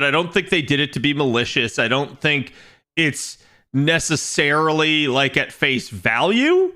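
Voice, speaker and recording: A young man chatting casually on his game stream, rambling and restarting as he thinks aloud, with a slightly raspy voice today. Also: a little high, sharp tone. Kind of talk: casual livestream chat.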